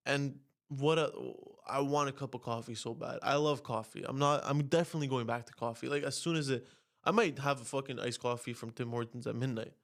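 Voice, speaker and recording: A young man with a stuffy, nasal voice talks straight to camera in a rambling podcast monologue. He swears constantly and his pitch climbs sharply when he gets worked up. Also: steady tone, fairly quick, gravelly. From Canada. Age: young adult. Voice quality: nasal, congested. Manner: profane, rants with rising pitch. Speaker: podcast host. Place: home, on camera.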